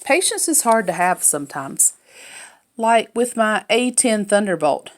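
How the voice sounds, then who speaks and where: Woman doing a read-aloud of a kids book, telling it in first person, medium pitch. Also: very animated, unhurried. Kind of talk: scripted narration of a children's novel, first person.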